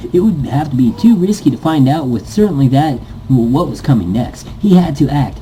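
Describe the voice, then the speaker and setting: Man putting on an excitable, higher-pitched esports caster. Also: slight background noise, close to an everyday voice, low-quality recording. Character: excitable, pompous male esports commentator in a visual novel.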